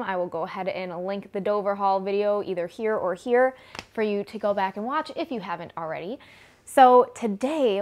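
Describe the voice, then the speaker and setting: A woman in her twenties with a Midwestern American accent, giving a chatty, informal product review as a vlogger. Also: medium pitch. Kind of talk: casual product review to camera. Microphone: clip-on lapel mic.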